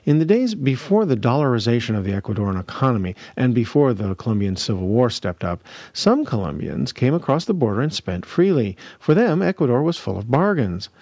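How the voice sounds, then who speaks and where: A male radio reporter narrating a scripted news feature in a measured, lively delivery. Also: medium pitch, no echo.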